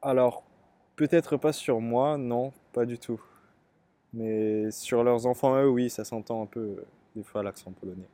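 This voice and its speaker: Young Parisian man, mellow and hesitant. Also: mid-range voice, measured pace. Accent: Parisian French. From Paris area, France. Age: young adult. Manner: thoughtful, hesitant, frequent fillers. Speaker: interviewee, student. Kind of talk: casual interview answers.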